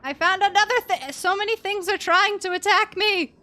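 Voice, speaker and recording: High, bright young woman streaming games. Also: normal speed. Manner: expressive, playful, exclaims and chants little words. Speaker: game streamer. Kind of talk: casual live game commentary and chat.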